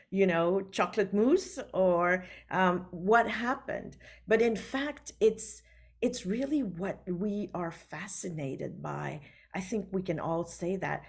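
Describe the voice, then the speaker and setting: A woman from Switzerland whose first language is French, an author talking over a video call from her book-lined study, unhurried and full of fillers. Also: fairly low pitch, darkish tone. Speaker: author guest. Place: home study with full bookshelves. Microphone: laptop webcam on video call.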